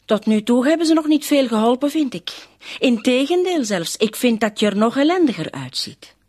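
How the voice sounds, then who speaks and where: Radio drama: fretful wife, highish lively voice, dry close sound, nagging yet caring. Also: female voice, normal speed, no room sound. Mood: fretful concern. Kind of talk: Dutch-language radio drama acting.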